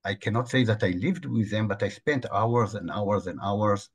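A man in his sixties with an Israeli accent, giving an online history lecture over a video call, halting and repeating words as he searches for phrasing. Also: lowish voice, slightly rough, no echo. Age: 60s.

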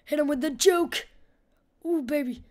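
A woman's voice: sits high, a little slow. A young woman with a scratchy voice giving casual, rambling, slangy gameplay commentary for a video. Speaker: gaming video host.

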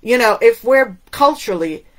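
A woman's voice: a little high, animated, moderate pace. A middle-aged woman from Cuba whose first language is Spanish, preaching a sermon to camera in an emphatic way, asking many rhetorical questions. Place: indoors in front of a waterfall backdrop.

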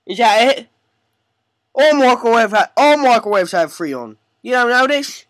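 A man's voice: extremely high pitch, animated, a little slow, a bit bright, dry recording, clean studio sound, exaggerated voice. A man voicing a pushy, hesitant British immigrant on a prank phone call, with a put-on accent and wheedling, rambling manner. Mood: pushy, indignant.

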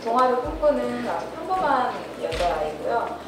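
A woman in her thirties at a press event, answering with many pauses and fillers into a handheld mic. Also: a little high, very animated, noisy background. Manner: hesitant, many fillers, giggly. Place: indoor press conference hall. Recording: echoey hall from far off.

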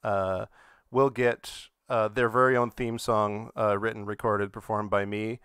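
Halting man chatting, dry close sound. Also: lowish voice, level tone, a bit bright, clean tone, no room sound. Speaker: podcast participant and songwriter. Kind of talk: casual live podcast chat.

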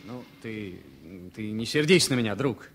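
An actor playing an irritable, ailing pensioned ex-military man of thirty-four, grumbling haltingly in a 1970s radio play. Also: fairly low pitch, slight background noise. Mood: weary, bitter.

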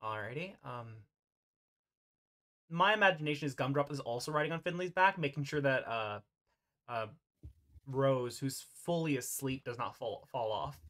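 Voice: mid-range voice, normal speed, pauses often, fairly bright tone. A game master casually narrating an online roleplay over a voice call, in an informal, hesitant way.

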